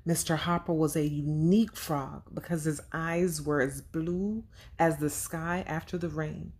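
A woman with a slightly low voice gives a lesson for young children. She speaks very slowly and deliberately, in simple words, asking her listeners questions and reading aloud as if from a storybook. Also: fairly even intonation, a little mellow, breathy edge. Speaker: teacher and story reader for children.

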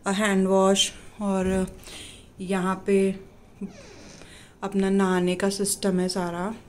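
A woman's voice: medium pitch, darkish tone. A woman in her twenties giving a casual apartment tour, chatting and pointing things out while walking with a handheld phone camera. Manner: chatty, mixing in English words, pointing things out. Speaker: vlogger. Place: small basement apartment indoors. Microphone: phone camera held while walking.

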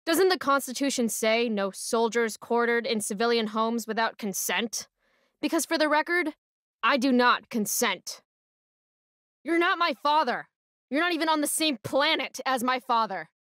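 A rebellious American teenage girl for a video game. She is sarcastic and defiant, with a fairly high voice recorded dry and close. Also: animated, moderate pace, a bit bright, no room sound. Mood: angry defiance. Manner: sardonic, defiant, foul-mouthed.

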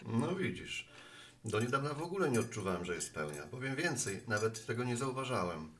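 A man's voice: mid-range voice, big pitch swings, brisk, a bit bright, a little hiss or hum. An actor playing a talkative, friendly postman with earnest opinions, chatting at a bar in an audio drama. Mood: conversational. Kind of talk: Polish audio drama acting.

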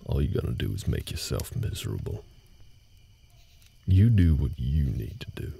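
A man acting a very deep, gravelly, world-weary cowboy. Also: big pitch swings, dark tone, exaggerated voice. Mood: earnest, advising. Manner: halting, low-key, folksy drawl-like phrasing. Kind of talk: intimate scripted roleplay audio drama. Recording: night ambience noise behind the voice.

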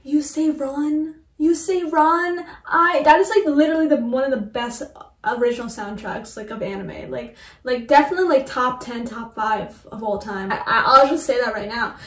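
A woman in her twenties giving casual, excitable reaction commentary, repeating phrases for emphasis. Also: sits high, normal speed. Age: 20s. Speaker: reaction video host. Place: small bedroom indoors. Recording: roomy sound with music behind.

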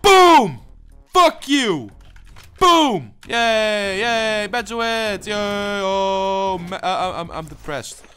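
A man's voice: sits high, big pitch swings, very slow, clean tone, faint music behind. Stammering, swearing young gamer man. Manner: stammering, swearing, flips between mumbling and yelling. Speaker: gaming channel host. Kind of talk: casual gameplay commentary.

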